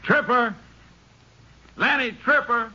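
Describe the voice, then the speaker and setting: A man's voice: very high voice, slow, bright, forceful tone, a little hiss or hum, full character voice. An actor playing a commanding lawman in a radio western, calling out insistently to an outlaw. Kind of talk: 1950s radio western acting. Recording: muffled old radio recording.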